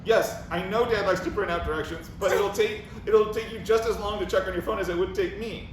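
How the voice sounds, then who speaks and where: A man acting an anxious, needy, self-deprecating boyfriend in a halting way at a comic play table read. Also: high voice, close to an everyday voice. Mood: flustered. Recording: roomy, distant, noisy recording.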